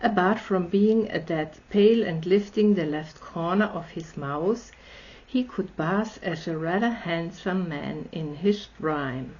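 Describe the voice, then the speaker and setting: A woman's voice: lowish voice, slow pace, a little mellow, slight background noise. A woman from Austria with an Austrian accent, a German native speaker, hosting her channel and reading a story aloud with friendly, chatty asides. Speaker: channel host. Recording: slightly dull lo-fi audio.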